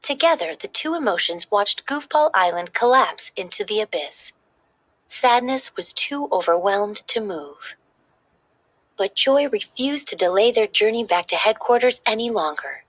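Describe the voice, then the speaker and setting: A woman narrates a children's storybook aloud with lively, animated intonation. Her voice is slightly husky and sits at a medium pitch. The sound is close and dry, with little room in it. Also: no room sound, rough recording. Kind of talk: expressive scripted read-aloud narration.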